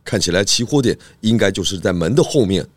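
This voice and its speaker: A man playing a calm, clever pig detective who leads with care in a children's audio drama. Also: low-pitched, quick pace, no room sound, clean studio sound. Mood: calm, in command.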